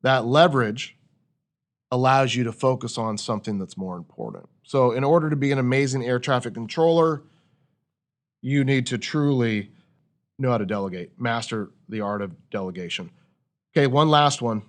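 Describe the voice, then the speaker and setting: A man's voice: medium pitch, moderate pace. A man hosting a podcast in a conversational coaching style, instructive and fond of rhetorical questions. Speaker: podcast host leading a discussion.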